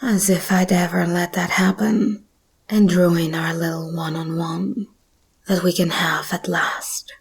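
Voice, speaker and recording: Breathy, slow-whispering predatory monster girl, played by a woman. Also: on the low side, level tone, full character voice. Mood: teasing, playful. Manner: slow, teasing, predatory purr. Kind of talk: ASMR roleplay, intimate monologue.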